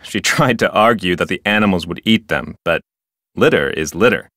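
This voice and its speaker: A pompous, touchy male park ranger for a video game. His voice sits a little low but rises and dips dramatically. He speaks formally, as a self-important public servant, in a very dry, close recording. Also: lively intonation, moderate pace, a bit bright, dry recording. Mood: matter-of-fact, pompous. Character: officious, dutiful, touchy park ranger and local history curator. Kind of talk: video game character dialogue.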